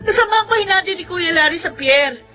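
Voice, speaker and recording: Very high, plaintive young woman. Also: expressive, fairly quick, pushed and bright, soft background music, heavily acted. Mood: hurt, defiant. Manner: plaintive, protesting. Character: young woman defending herself against jealous suitor. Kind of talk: Filipino melodrama acting.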